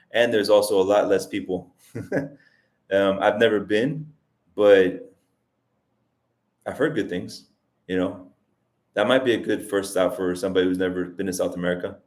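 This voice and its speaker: A man's voice: lowish voice, a little mellow. A man around forty hosting a casual livestream, answering viewer questions and thinking aloud with frequent restarts. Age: 40s. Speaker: livestream host. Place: lives in Colombia as an immigrant.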